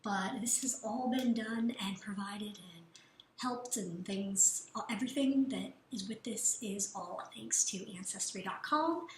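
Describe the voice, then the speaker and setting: A woman's voice: mid-range voice, measured pace, a little hiss or hum, poor audio. A woman talking casually to camera in a vlog, loose and chatty with lots of "like" and "um". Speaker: vlogger.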